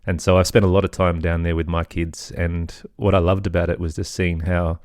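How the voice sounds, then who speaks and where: A middle-aged man talking casually and reflectively as a podcast guest, thoughtful and tentative, often softening what he says. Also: sits low, flat delivery, brisk, no room sound, clean studio sound. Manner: hesitant, thoughtful, hedging with softeners. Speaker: podcast guest, children's audio storyteller. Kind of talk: casual reflective interview talk.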